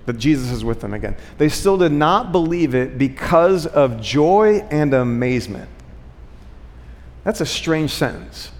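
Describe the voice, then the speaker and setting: A man preaching a conversational sermon, using rhetorical questions, repeated phrases and frequent check-ins with his listeners. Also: fairly high pitch. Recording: long hall reverb.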